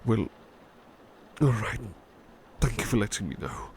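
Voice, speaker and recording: A man playing a devoted, humble butler in an ASMR roleplay, speaking softly and tenderly with halting, repeated words. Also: lowish voice, very animated, creaky, slight background noise, exaggerated voice. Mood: nervous, excited. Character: devoted, gentle, humble male butler sweetheart. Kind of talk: ASMR roleplay, personal attention.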